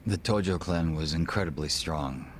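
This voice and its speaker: Deep, suave, guarded gangster lieutenant. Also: male voice, a little hiss or hum. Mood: grave, measured. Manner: formal, polite, measured, wry. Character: suave, guarded male second-in-command of a Korean gang. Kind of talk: English video game voice acting.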